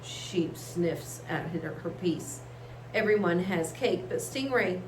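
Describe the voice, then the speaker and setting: A woman reading aloud to children like a teacher, expressive and encouraging. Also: fairly low pitch, takes it slowly. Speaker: story reader, teacher. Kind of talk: read-aloud storytelling for children. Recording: echoey room, faint background hiss.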